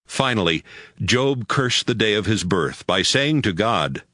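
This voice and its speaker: A man's voice: on the low side, animated, unhurried, bright, forceful tone, dry recording, clean studio sound. A man narrating a dramatized Bible as a scripted storyteller.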